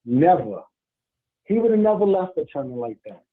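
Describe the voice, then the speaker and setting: Male caller, loud and a bit high, on a poor phone line. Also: big pitch swings, normal speed. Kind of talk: phoning in to a show.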